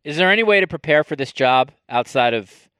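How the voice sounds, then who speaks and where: A man interviewing a guest, asking questions haltingly and restarting them. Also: fairly high pitch, expressive, bright, forceful tone, clear, resonant tone, dry recording, studio quality.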